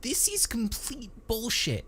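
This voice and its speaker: A high-pitched, whiny techie trooper from a sci-fi story. He is indignant and excitable, and his pitch leaps around as he rants. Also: takes it slowly, slight background noise, exaggerated voice. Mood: indignant ranting.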